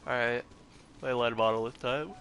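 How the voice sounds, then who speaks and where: A man's voice: medium pitch, fairly even intonation, normal speed. A young man giving casual gameplay commentary, slangy and sweary, reacting in short bursts. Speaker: game streamer. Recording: game music behind. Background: game music.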